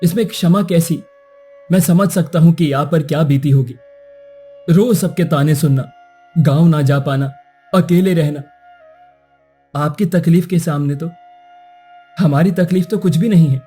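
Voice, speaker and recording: A man voicing a kind, protective young prince in an animated moral story, speaking formally and courteously. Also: fairly high pitch, a little slow, pauses often, breathy edge, faint music behind. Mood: reassuring.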